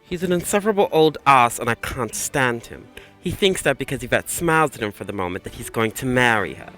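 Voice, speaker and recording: A man's voice: mid-range voice, expressive, fairly quick, bright, forceful tone, faint music behind. A man playing a jealous, hot-tempered young aristocrat in a radio play, blunt and irritable. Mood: irritated.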